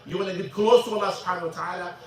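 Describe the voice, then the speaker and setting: High-voiced imam teaching in an echoey mosque. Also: male voice, steady tone, fairly bright tone. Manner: halting, repeats words, switches into Arabic. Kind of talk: informal religious lesson with questions. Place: mosque classroom. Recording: echoey room, mic at a distance.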